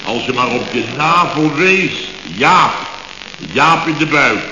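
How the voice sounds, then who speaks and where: A man's voice: a little high, expressive, slow, sharp tone, exaggerated voice. A man playing a blustering, impatient company boss in a Dutch radio comedy from around 1970, talking in clipped, exclamatory fragments. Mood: grumbling, emphatic. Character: blustering, impatient male boss of building firm. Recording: echoey, dull, noisy old radio recording.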